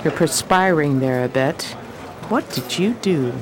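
A woman's voice: low voice, expressive, measured pace, a crowd in the background, rough recording. A woman playing a bold, curious, teasing heroine in a 1920s period radio crime drama. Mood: probing, teasing. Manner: sociable, probing, slangy.

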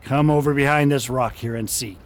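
A man's voice: mid-range voice, fairly even intonation, brisk, a little hiss or hum, close to an everyday voice. An actor playing a loyal male frontier sheriff in a Western radio drama, plainspoken and modest. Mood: anxious. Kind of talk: amateur radio drama acting.

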